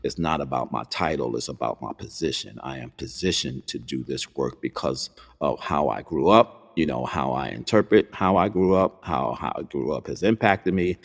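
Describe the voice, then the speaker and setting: A man's voice: very deep voice, vocal fry, breathy edge, long reverb tail. A middle-aged man hosting a podcast interview, speaking earnestly with many fillers into a studio mic over a video call. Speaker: podcast host. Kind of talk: interview conversation. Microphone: studio microphone on video call.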